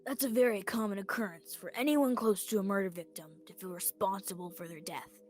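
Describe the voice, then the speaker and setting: A young woman playing an anxious male small-town sheriff trying to seem calm, acted in radio theatre style. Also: mid-range voice, brisk, soft background music, close to an everyday voice. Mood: firm, apologetic. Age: young adult.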